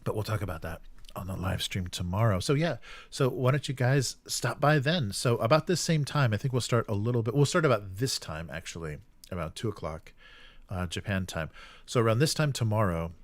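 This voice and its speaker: A man's voice: lowish voice, expressive, a little fast, no room sound. A man hosting a live stream in casual chat, rambling hesitantly and often trailing off mid-sentence.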